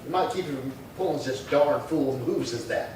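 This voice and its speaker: An actor playing a folksy, crafty Old West doctor in a radio play, exclamatory and gloating. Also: male voice, medium pitch, normal speed, darkish tone, lightly acted. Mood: gleeful, needling. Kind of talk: old-time western radio acting. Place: live theatre stage performance. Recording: very roomy, distant stage pickup, faint noise.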